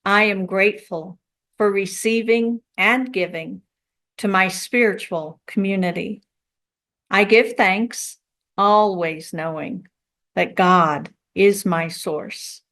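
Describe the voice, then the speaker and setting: Slow-speaking woman leading a church service. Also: medium pitch, a bit bright. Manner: measured, deliberate, reverent phrasing. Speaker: service leader at a spiritual center.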